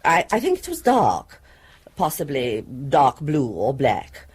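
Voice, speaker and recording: Prim, swooping, fussy housewife. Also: female voice, sits low, huge pitch swings, a little mellow, slightly rough, heavily acted. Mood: certain, prim. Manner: formal, precise, fastidious. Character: prim, fussy middle-aged housewife, precise and opinionated. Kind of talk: radio drama acting.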